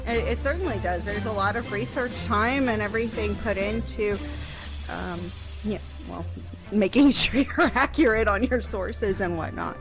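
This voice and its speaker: A woman talking as a historian on a casual show, explaining things in a hesitant, halting way. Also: medium pitch, music in the background, poor audio. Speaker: historian guest answering questions on a radio show.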